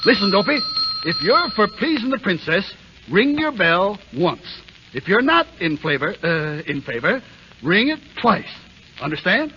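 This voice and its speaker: A man's voice: high voice, big pitch swings, measured pace, a little hiss or hum. An actor playing a kindly, flustered old dwarf who stammers and muddles his words, in 1930s radio style. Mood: flustered, bossy. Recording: old muffled radio broadcast, loud music.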